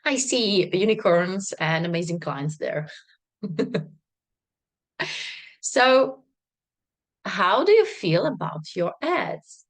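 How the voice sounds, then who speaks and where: Non-native woman doing a live coaching stream, slow and kinda low, bubbly and emphatic. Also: sing-song. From not Czech, worked in Czech Republic. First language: not English. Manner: bubbly and emphatic, laughs often. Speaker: business coach. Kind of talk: live stream talk to viewers.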